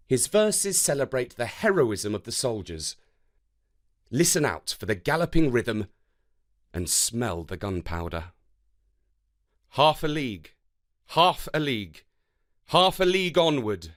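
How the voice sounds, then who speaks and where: A man narrating scripted history and verse for children in a measured, dramatic way. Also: medium pitch. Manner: measured, expressive, dramatic delivery. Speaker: storyteller narrator.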